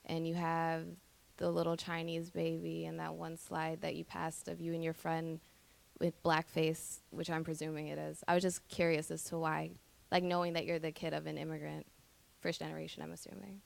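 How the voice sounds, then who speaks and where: Creaky, flat-toned woman asking a question. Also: deep voice, moderate pace. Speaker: audience member asking a question. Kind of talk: tentative, unscripted audience question.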